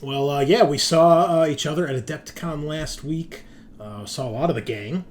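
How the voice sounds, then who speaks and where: A man's voice: fairly high pitch, normal speed, a little hiss or hum. A man hosting a tabletop game as game master, bantering casually with teasing and swearing.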